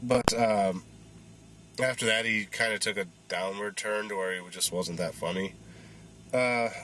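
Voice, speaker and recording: Man, congested, lowish voice, rambling casual movie review, lots of ums. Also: unhurried, bright, forceful tone, poor audio. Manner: rambling, filler-heavy. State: congested, recovering voice.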